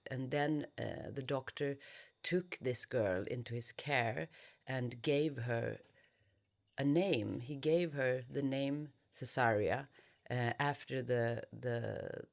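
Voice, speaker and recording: Female interviewee, Swedish accent. Unhurried, hesitant, thoughtful delivery with noticeable vocal fry. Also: a bass voice. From Sweden. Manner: hesitant, searching for words with frequent pauses. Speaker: interviewed novelist. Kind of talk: reflective interview answers.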